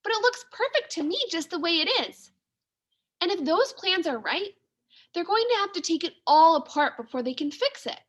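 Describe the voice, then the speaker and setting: A woman reading a storybook as a timid, thoughtful male mouse, with earnest, expressive delivery. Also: very high-pitched, normal speed, voice pushed up. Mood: worried. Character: timid, thoughtful male library mouse who craves coziness.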